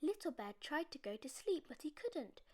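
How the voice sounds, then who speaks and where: A woman's voice: sits high, big pitch swings, moderate pace. A woman storyteller reading a children's bedtime story aloud expressively.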